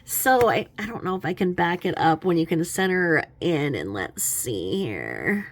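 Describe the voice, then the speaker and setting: A woman's voice: low voice, sing-song, normal speed. A woman giving casual, mocking reaction commentary in a snarky, rambling, chuckling way. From lives in a small religious community. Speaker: commentary vlogger.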